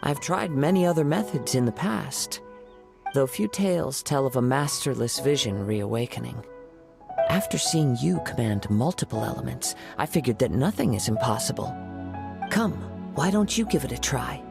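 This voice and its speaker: An actor playing a calm young wandering samurai in a game, measured and poetic in his delivery. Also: medium pitch, vocal fry. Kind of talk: video game cutscene dialogue. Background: game music.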